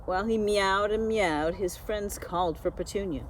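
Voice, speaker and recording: A woman reading a children's storybook aloud, expressively and with dramatic pauses. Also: mid-range voice, slight background noise, rough recording. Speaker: school media specialist reading aloud.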